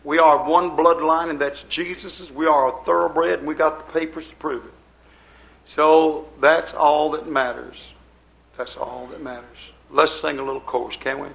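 A man's voice: fairly high pitch, steady tone, moderate pace, creaky, fairly bright tone, highs cut off. An older man preaching a sermon to his congregation in a folksy, rambling way, repeating his phrases. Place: church service before a congregation.

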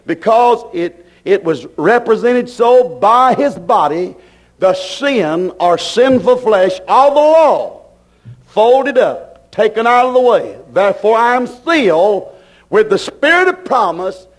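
A man's voice: high-pitched, lively intonation, slow, rough recording. A man preaching a fervent sermon, emphatic and declarative, repeating phrases to drive them home.

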